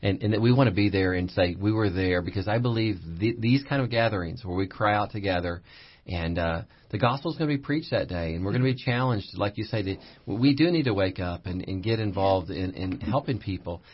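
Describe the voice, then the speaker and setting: A pastor speaks as a guest in a radio studio. His voice is low and husky, and he talks earnestly, often repeating words as he goes. The broadcast sound is slightly dull. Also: brisk. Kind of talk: conversational radio interview.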